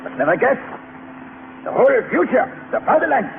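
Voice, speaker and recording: An actor playing a secretive, grandiose German fugitive, slow and portentous, in an old radio drama. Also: male voice, extremely high pitch, sing-song, exaggerated voice. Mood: grandiose, uneasy. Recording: noisy, tinny old radio recording.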